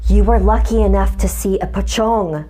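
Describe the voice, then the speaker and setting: An eager, greedy mother from a horror story, put on by a woman, coaxing her daughter with excitement. Music plays clearly behind the voice. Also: mid-range voice, normal speed, close to an everyday voice.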